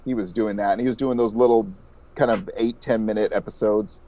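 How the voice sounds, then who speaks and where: A man's voice: lowish voice, steady tone, a little fast, warm tone, muffled recording. A man speaking as an interview guest, explaining things modestly in a conversational way. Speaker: interview guest, audio drama podcast creator.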